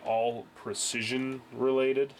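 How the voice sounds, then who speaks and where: A man's voice: mid-range voice, little pitch movement, slow, noisy background. A young man with a congested voice from a cold, casually explaining his process at a garage workbench, rambling with fillers and restarts. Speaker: home reloading hobbyist.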